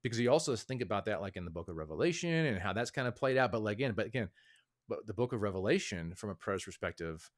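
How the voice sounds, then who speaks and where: A man's voice: fairly low pitch, expressive, fast talker. A man as a podcast guest, explaining his views in a hedging, thoughtful way with many fillers. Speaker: author and guest. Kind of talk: casual interview talk.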